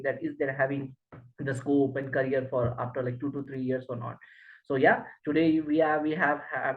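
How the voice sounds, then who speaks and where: A man from India hosting a podcast interview, speaking haltingly with many fillers and restarts. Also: a little high. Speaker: podcast host. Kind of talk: interview podcast over a call. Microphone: video call. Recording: tinny phone-like call audio.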